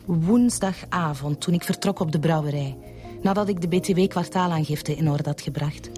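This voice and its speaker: An actress playing a cool, measured Flemish bookkeeper and mistress under questioning in a radio drama. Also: female voice, deep voice, close to an everyday voice. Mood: guarded. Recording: music behind the voice.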